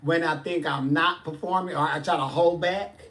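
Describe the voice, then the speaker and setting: A man's voice: fairly high pitch, fairly even intonation, moderate pace, a bit bright. A man, chatting casually on a livestream and answering viewers' comments with sass. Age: 40s. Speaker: livestream host.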